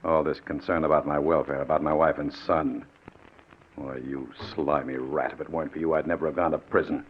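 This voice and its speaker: An actor in an old radio suspense play playing a bitter, vengeful ex-convict, speaking with sardonic, deliberate menace. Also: male voice, a bass voice, flat delivery, a little hiss or hum. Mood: bitter anger. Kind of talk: 1940s radio suspense acting. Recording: muffled old radio recording.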